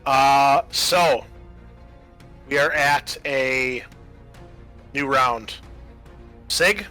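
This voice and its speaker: Bright-voiced man running a tabletop game. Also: mid-range voice, very slow. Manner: improvised storytelling mixed with rules talk and dry asides. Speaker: game master. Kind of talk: narrating a horror tabletop roleplaying game. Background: background music.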